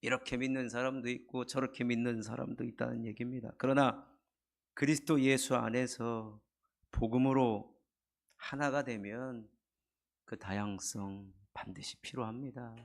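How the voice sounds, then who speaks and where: A middle-aged man preaching a Sunday sermon in a church, his voice hoarse and husky from a cold, building earnest emphasis. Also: mid-range voice, steady tone, normal speed. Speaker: pastor. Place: church sanctuary.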